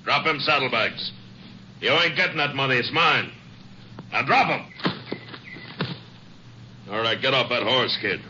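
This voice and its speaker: An actor playing a menacing, greedy gunman in a 1950s radio western, threatening and rough-spoken. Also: mid-range voice, lively intonation, unhurried, long pauses, pushed and bright. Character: menacing, greedy male outlaw with a gun. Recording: muffled, faintly noisy old radio recording.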